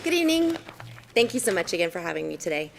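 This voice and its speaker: A woman's voice: lowish voice, big pitch swings, fast, creaky, a little hiss or hum. A woman in her forties from Idaho giving a formal presentation in a city council chamber, earnest and sprinkled with ums and self-corrections. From Idaho, USA.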